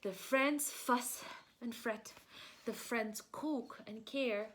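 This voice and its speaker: A woman reading a children's storybook aloud as a preschool teacher, expressive, in simple child-directed speech with questions she answers herself. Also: a little high, very slow, a little hiss or hum.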